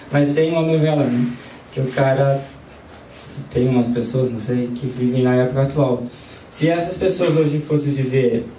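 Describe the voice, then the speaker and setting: A man's voice: medium pitch, mellow. A man leading a spiritist study group, talking casually and putting questions to the people in the room. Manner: conversational, asks the audience questions. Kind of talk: informal group lesson with questions to audience. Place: study centre meeting room. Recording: roomy, distant mic, faint background noise.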